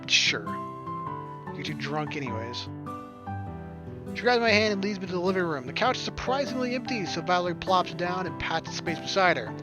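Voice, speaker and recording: A man's voice: high voice, expressive, normal speed. A man hosting a gaming video, reading visual novel narration aloud with wry, casual asides. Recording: game music behind the voice. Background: game music.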